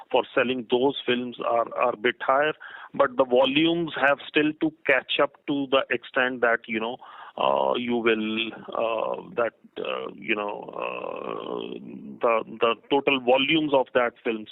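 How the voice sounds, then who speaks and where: Creaky-voiced Indian man on a phone line. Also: medium pitch, no echo. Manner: halting, rambling explanations with repeated words. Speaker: company finance executive. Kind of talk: answering analysts on an earnings call. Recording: muffled, band-limited conference phone line.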